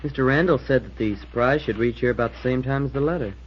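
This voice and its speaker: A man's voice: mid-range voice, fast talker, close to an everyday voice. An actor playing a polite, wondering boy cadet and loyal friend in old-time radio style. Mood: curious, pleased. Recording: muffled, hissy old radio recording.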